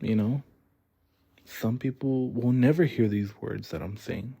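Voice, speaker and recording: A man's voice: mid-range voice, a little slow, creaky, dark tone. A young man hosting his own podcast, talking earnestly and rambling from the heart with fillers and repeats. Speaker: podcast host. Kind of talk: heartfelt solo podcast monologue.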